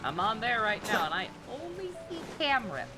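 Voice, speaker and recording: A woman's voice: fairly high pitch, sing-song, normal speed. A woman live streaming a video game, chatting casually as she plays. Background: game music.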